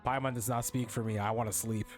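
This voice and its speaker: A man's voice: medium pitch, steady tone, quick pace. A young man casually commentating on a game, slangy and rambling, often repeating himself. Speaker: game streamer. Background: game music.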